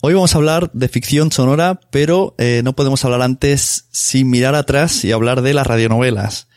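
A man's voice: mid-range voice, normal speed. A man with an accent from Spain hosts a podcast, introducing it in a conversational monologue. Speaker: podcast host.

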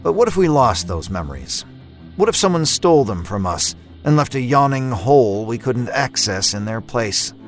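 A man's voice: mid-range voice, big pitch swings, normal speed. A man hosting a horror fiction podcast, reading a scripted introduction with a theatrical, dramatic delivery. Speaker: podcast host. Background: music under the voice.

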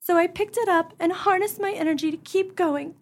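A woman's voice: very high-pitched, brisk. An actress playing a desperate, forlorn young mother in a comic radio melodrama, with earnest, melodramatic delivery. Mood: earnest, grateful.

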